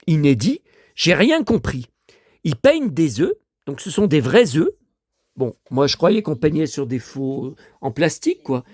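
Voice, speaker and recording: An older man from France, hoarse and grumbling, who talks half to himself and half to his viewers. His pitch swings widely from low mutters to high indignant outbursts. The sound is dry and close. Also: sing-song, unhurried, darkish tone, no echo. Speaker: vlogger showing flea-market finds. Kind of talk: casual monologue to viewers.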